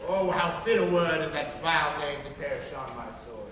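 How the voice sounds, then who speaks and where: A man's voice: on the high side, normal speed, crowd noise, lightly acted. A man playing a fickle, passionate young lover in a stage play, declaiming verse with big swings in volume. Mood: ardent. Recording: echoey hall from far off.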